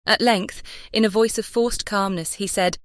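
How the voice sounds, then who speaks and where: A woman's voice: medium pitch, steady tone, normal speed, dry recording, studio quality. A woman narrating a classic novel as an audiobook, composed and carefully phrased. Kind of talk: scripted literary narration.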